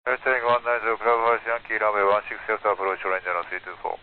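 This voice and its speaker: A man's voice: on the low side, fairly even intonation, fast talker. A man speaking as an airline pilot, reading back instructions in clipped phrases over aircraft radio. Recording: very narrow tinny radio link.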